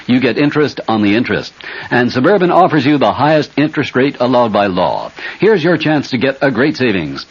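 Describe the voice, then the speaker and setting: An American man announcing a scripted 1970s radio bank advertisement with a husky voice. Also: mid-range voice. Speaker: commercial announcer. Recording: dull old radio broadcast.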